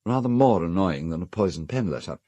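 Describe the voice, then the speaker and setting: An actor playing a fastidious, polite British gentleman host in an audio drama, measured and slightly diffident. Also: low voice, lively intonation, no echo, lo-fi sound. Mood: discreet, troubled. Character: courteous, fastidious gentleman host of an island estate.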